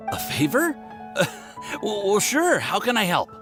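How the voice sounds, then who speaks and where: A man's voice: high-pitched, wildly swooping pitch, a little slow, exaggerated voice. A man playing a friendly, nervous young alchemist in an anime game, stammering and awkward as he talks. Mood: hesitant. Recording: music behind the voice.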